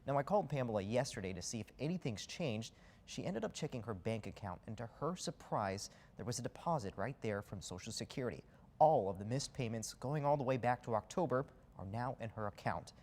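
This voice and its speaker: Clear-spoken male TV reporter. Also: mid-range voice, lively intonation, brisk, darkish tone. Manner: conversational, clear broadcast delivery. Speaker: news reporter. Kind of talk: live newsroom report. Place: TV newsroom.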